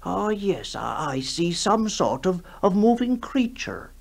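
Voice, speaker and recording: A man voicing a timid, wondering country vicar in a radio ghost story, speaking haltingly. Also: sits high, big pitch swings, creaky, voice pushed up, heavily acted. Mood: awed, uneasy.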